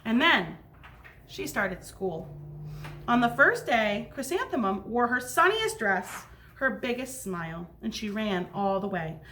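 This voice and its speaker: A woman's voice: mid-range voice, very animated, unhurried, pushed and bright, slight background noise. A woman teacher reading a picture book aloud to young children, explaining things and checking in with questions. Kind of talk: read-aloud storytime with asides to children.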